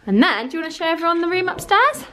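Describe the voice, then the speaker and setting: A woman's voice: very high-pitched, very fast, background noise. Giggly young woman touring an empty house. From England. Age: young adult. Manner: giggly, excited, chatty. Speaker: vlogger and mother. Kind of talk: casual home tour vlog. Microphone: handheld camera at arm's length.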